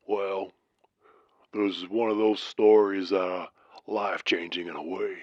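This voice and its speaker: Slow, low Southern trucker acted by a man, muffled. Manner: slow, drawn-out storytelling. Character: folksy Southern trucker spinning an eerie tale. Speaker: late-night radio caller. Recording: muffled, roomy sound.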